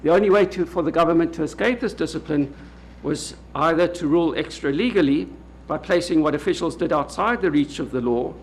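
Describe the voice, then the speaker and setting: South African man lecturing, halting delivery. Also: fairly high pitch, slight background noise. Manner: measured, many hesitant "uh" fillers. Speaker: legal academic speaker. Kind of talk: formal lecture. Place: lecture hall at a public event.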